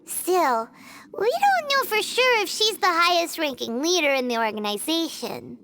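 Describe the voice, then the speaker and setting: A woman voicing a small, excitable, childlike fairy companion in a video game, squeaky and full of exclamations and questions. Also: normal speed, background noise, heavily acted. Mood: puzzled, explaining. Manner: exclamatory, questioning, refers to self in third person. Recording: game music behind the voice.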